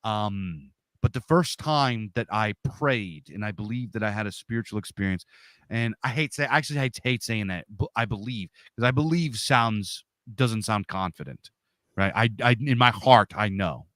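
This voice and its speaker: Loud guy, 40s, big voice, dry close sound, just chatting as a guest. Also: fairly low pitch, animated, moderate pace, no echo. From Pennsylvania, USA. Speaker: interview guest. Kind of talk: casual storytelling.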